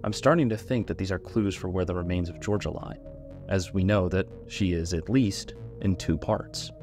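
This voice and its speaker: A man's voice: sits low, normal speed, music in the background. A man hosting a video essay, giving scripted narration and commentary.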